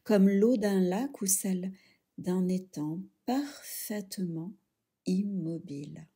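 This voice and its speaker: A woman leading a guided yoga relaxation, speaking softly and soothingly in short phrases with pauses. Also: medium pitch, breathy edge. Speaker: yoga nidra instructor.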